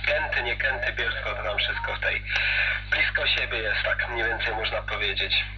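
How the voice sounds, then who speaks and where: A man's voice: medium pitch, moderate pace. A man chatting casually as a CB radio operator, heard through a radio. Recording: muffled, noisy CB radio reception with hum.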